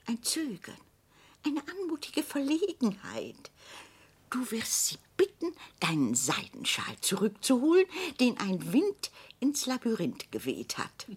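An actress playing an imperious, scheming elderly widow in a radio drama, grand and condescending with a husky voice. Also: female voice, mid-range voice, exaggerated voice. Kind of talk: German radio drama acting.

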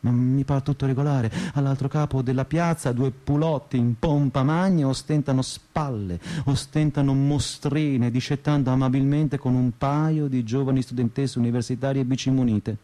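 A man's voice: medium pitch, fairly even intonation, normal speed, few pauses, dark tone, natural voice. Guy acting a thirty-something stoner student, sardonic radio play monologue, mid voice, swings from mutter to outburst. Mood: irritated, sly. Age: 30s. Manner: sarcastic, slangy, declamatory, swinging between mutter and outburst. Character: restless, sardonic 35-year-old provincial student pot-smoker telling his story. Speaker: stage actor, monologue performer.

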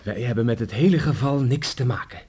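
A man's voice: mid-range voice, a little fast, crowd noise, close to an everyday voice. An actor playing a cocky, cheerful young village man in a Dutch radio drama, teasing and colloquial. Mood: teasing, confident. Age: young adult.

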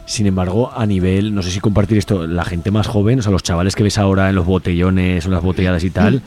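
Man, deepish voice, informal radio hosting, frequent question tags. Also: fairly even intonation, quick pace, dark tone, faint music behind. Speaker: radio show host. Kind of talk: casual radio interview.

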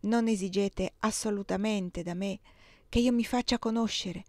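A woman's voice: medium pitch, fairly even intonation, normal speed, darkish tone, no echo, lightly acted. Woman reading tender secretive heroine, tremulous and imploring, dry voice. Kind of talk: audiobook dialogue reading.

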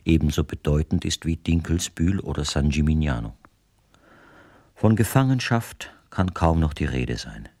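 A man's voice: extremely low pitch, a little mellow, no room sound, studio quality. A man playing a reflective writer, narrating in the first person in a radio play. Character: reflective male writer recounting his life in captivity.

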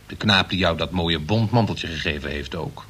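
An actor in a Dutch radio play playing a diffident, guileless boyfriend who speaks in a hesitant stammer. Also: male voice, low voice, normal speed, fairly bright tone, slightly rough. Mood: sheepish candour.

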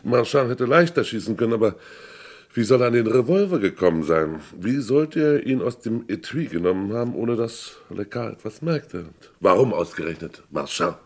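An actor playing a wry, self-assured forensic doctor in a German radio crime drama, with a deliberate, dryly ironic manner. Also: male voice, fairly low pitch, lively intonation, darkish tone. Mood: sceptical, probing.

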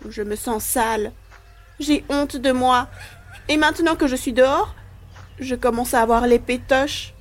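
A woman's voice: high voice, measured pace. A woman playing an ashamed, frightened young child in an audio drama, confessional and self-deprecating. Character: ashamed, frightened young village boy who wets the bed. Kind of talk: dramatic monologue in an audio play.

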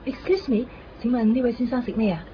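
A woman's voice: a little high, quick pace, lightly acted. A woman acting a polite flight attendant in a radio drama. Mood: courteous. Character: polite female flight attendant serving passengers. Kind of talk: 1990s Cantonese radio drama dialogue. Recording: dull, noisy cabin-ambience radio recording.

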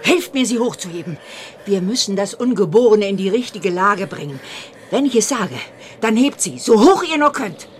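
An actress playing a resolute, insistent medieval midwife who commands yet stays deferential, in a German radio drama. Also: female voice, medium pitch, lively intonation, normal speed, a little hiss or hum, close to an everyday voice. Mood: straining, commanding. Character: urgent, resolute medieval village midwife, plain-spoken servant woman.

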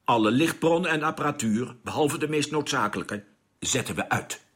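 An actor playing a commanding, decisive male spaceship captain in a radio play, with a husky, authoritative delivery. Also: medium pitch, lively intonation, normal speed, fairly bright tone, natural voice. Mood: calm, commanding. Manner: authoritative, giving orders.

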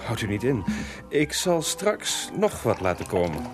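A man's voice: medium pitch, very animated, measured pace, loud music behind the voice. A man playing a deceitful, courtly Russian prince plotting murder in a theatrical radio drama. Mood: falsely hospitable. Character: Russian prince, smooth deceitful host turned patriotic assassin.

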